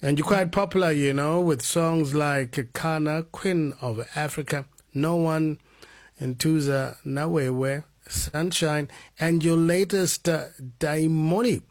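A man hosting a radio interview, easygoing and encouraging, with soft "mm" backchannels. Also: on the high side, takes it slowly. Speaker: radio host.

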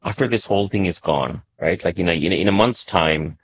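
Male journalist guest from Nepal, very deep and husky, reflective, conversational explainer. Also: brisk, dry recording, muffled recording. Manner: reflective, explanatory, often checks with "right?" and "you know". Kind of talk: conversational interview commentary.

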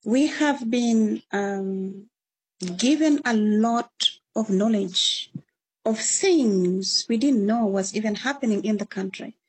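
A woman's voice: fairly high pitch, slow, darkish tone, breathy edge, poor audio. A woman from northern Uganda with a Ugandan accent, answering interview questions in an earnest, encouraging way. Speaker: returnee guest.

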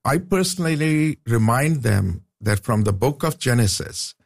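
A man's voice: medium pitch, animated, unhurried, creaky. A man from Pakistan, a professor talking earnestly as a radio studio guest, often restarting his sentences. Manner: earnest, with frequent restarts and "uh" fillers. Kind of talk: casual radio interview.

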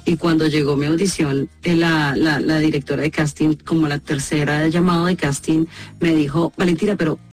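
A woman's voice: low voice, fairly even intonation, moderate pace, a little mellow, soft background music. A woman with a Colombian accent, a voice actress speaking as an interview guest, explaining and telling anecdotes about her work.

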